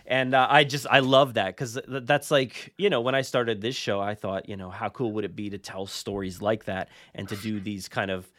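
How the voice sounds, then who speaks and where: Male podcast host, low voice, dry close sound, informal and rambling, keen on the subject. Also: fairly even intonation, normal speed, hardly any pauses, fairly bright tone, dry recording. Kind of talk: casual podcast chat.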